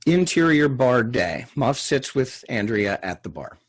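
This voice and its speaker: A man with a husky voice reading out screenplay stage directions as scripted narration. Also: medium pitch, very animated, measured pace.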